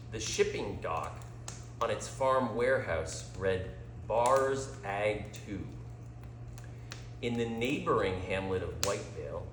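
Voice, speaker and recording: Slow, expressive man storyteller in echoey room. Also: lowish voice, slight background noise. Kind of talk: scripted storybook narration.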